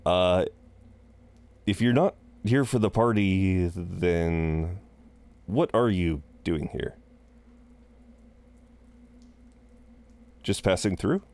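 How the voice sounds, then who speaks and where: Male actor as incubus innkeeper, low voice, unhurried, chatty, flirtatious, welcoming, roleplay audio drama. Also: darkish tone. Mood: apologetic, eager. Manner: rambling, hesitant asides, chuckling, flirtatious. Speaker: innkeeper at a front desk.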